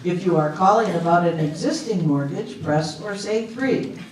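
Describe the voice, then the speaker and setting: A man playing a polite, unyielding automated phone system in a comic readers theater, reciting prompts in a formal, measured way. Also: high-pitched, a little mellow, natural voice. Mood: flatly polite. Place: live readers theater stage with audience. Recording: echoey hall, distant mic, audience noise.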